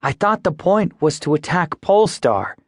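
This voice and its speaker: A man's voice: a little high, very animated, moderate pace, dry recording, studio quality, pitched above the performer's own voice. A man voicing an eager, impatient teenage girl fighter in an audiobook, with a tight, challenging manner. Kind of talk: audiobook character dialogue.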